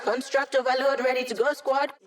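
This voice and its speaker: An actress voicing a sardonic young woman hacker who runs tech support for a squad, in video game voice lines with dry, deadpan humor. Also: high-pitched, a little fast, a crowd in the background, close to an everyday voice. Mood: wry.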